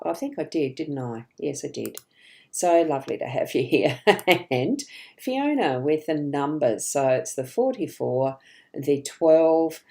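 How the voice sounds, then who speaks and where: Woman, middle-aged, rough morning voice, mellow, casual live-stream host, chatty, greets viewers. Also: sits low. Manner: chatty, greets viewers by name, frequent ums and restarts. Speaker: psychic card reader hosting a live stream.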